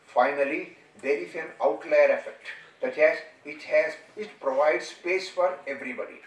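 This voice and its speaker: Male lecturer, Indian accent, earnest formal delivery with halting pauses, somewhat raised pitch, roomy distant recording. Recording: echoey room, distant microphone.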